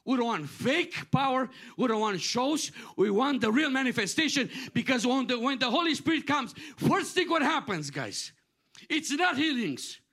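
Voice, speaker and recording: A man's voice: very high voice, animated, moderate pace, a bit bright. A middle-aged man from Romania preaching a sermon in an earnest, repetitive cadence, often restarting his phrases.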